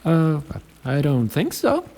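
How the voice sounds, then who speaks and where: Fawning male courtier: fussy, courtly, very animated pitch, in a reverberant hall. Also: takes it slowly, exaggerated voice. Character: obsequious, fussy male attendant to a commander. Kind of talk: fantasy audio drama acting.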